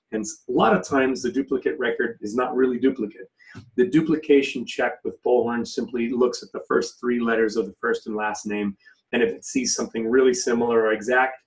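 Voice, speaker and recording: A man giving an informal, step-by-step software tutorial as an instructor. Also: mid-range voice. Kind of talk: informal step-by-step screen-share walkthrough. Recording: voice somewhat distant from the mic.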